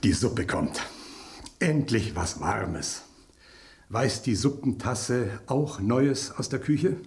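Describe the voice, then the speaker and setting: An actor in a German radio play voicing a proud, pompous soup spoon with a refined palate, savoring every detail. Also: male voice, medium pitch, expressive, slow, a little mellow. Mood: self-important, savoring.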